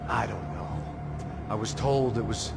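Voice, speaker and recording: Jaded American ex-cop, middle-aged, low register, terse and world-weary, video game noir, heavy music bed. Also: male voice, a little slow, creaky. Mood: weary, defensive. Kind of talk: hardboiled video game voice acting. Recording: loud music under the voice.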